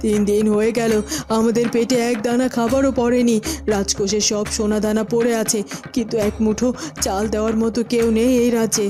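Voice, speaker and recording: A woman's voice: a little high, level tone, a little fast, music in the background, natural voice. A woman playing a queen's fed-up companion in a cartoon folk tale, complaining in a plaintive, scolding way. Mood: wailing, reproachful.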